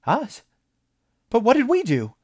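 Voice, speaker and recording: A man voicing an excitable, jovial businessman who gushes in a high voice. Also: normal speed. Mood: alarmed. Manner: high, gushing and breathless.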